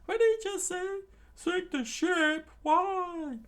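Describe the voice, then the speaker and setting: A man voicing panicked pirate crewmen who yell in a shrill high voice. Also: slow, full character voice. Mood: alarmed. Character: shouting rough pirate crewmen.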